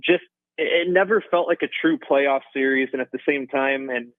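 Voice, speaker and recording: A man's voice: mid-range voice, moderate pace, dry recording. Man on a dull phone line. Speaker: guest hockey reporter. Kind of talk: casual sports radio interview. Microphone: phone call.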